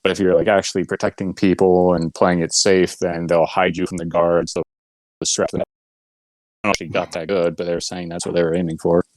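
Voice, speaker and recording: A man with a low voice chats as a podcast co-host, explaining things in a loose, rambling way. He is recorded dry, with no room sound. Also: level tone, a little fast, no echo, poor audio. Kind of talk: casual podcast chat.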